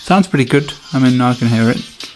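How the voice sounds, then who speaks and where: A man's voice: medium pitch, fairly quick, darkish tone, faint music behind, low-quality recording. A man doing a casual, hands-on product review, thinking aloud informally as he demonstrates. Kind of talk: casual unboxing and review commentary.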